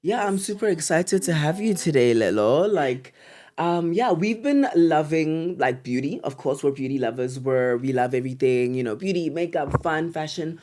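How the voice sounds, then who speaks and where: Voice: medium pitch, animated, moderate pace, a little mellow. A South African talk show host with a mid-range voice. The delivery is casual and slangy, full of gushing praise and words repeated for emphasis.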